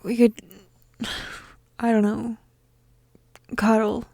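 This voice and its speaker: Casting: actress as young goth female roommate for intimate audio roleplay. Mellow, slightly creaky voice, hesitant, teasing and tender, half-whispered. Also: fairly high pitch, level tone, slow pace, no room sound. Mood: teasing, shy. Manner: hesitant, gentle, teasing, breathy murmuring.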